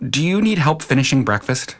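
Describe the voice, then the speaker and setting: A man's voice: mid-range voice, sing-song, brisk. A man playing a skeptical, stern but reasonable middle-aged husband and father in an audio drama. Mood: conciliatory.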